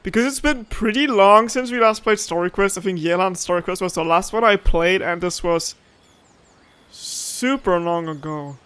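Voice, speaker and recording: A woman's voice: lowish voice, moderate pace, fairly bright tone, smooth. A woman gaming streamer giving casual let's-play commentary. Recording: game music playing behind.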